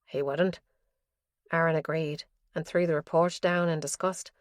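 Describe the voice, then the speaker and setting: A woman reading a thriller audiobook in a low, measured voice. The sound is very dry and close, as if from a studio booth. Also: fairly even intonation, dry recording. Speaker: audiobook narrator. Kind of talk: scripted narration.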